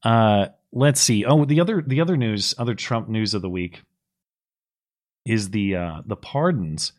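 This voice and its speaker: A slightly hoarse man co-hosting a podcast, recapping political news in a casual, explanatory way with plenty of fillers. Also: fairly low pitch, animated, unhurried, a little mellow. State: slightly hoarse, losing his voice. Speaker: podcast co-host.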